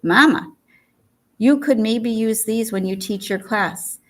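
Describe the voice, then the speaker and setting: A woman's voice: fairly high pitch, slow. A woman voicing an earnest, slightly hesitant mother in an audiobook story. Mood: earnest, tentative. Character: Puerto Rican mother, earnest and a little hesitant.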